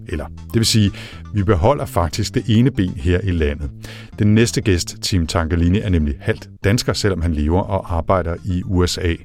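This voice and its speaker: A man hosting a podcast, reading narration and asking questions in a measured way. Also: deep voice, darkish tone. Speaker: podcast host. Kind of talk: scripted narration and interviewing.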